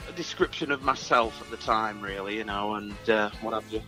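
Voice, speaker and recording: A man's voice: fairly low pitch, fairly quick, bright, forceful tone, soft background music, rough recording. A man chatting casually as a musician guest, hesitant and self-effacing about his work. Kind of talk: casual interview chat.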